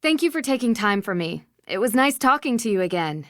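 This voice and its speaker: A woman's voice: fairly high pitch, big pitch swings, brisk, no room sound, clean studio sound. An actress playing a polite, inquisitive young woman detective in a video game, courteous and questioning. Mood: friendly.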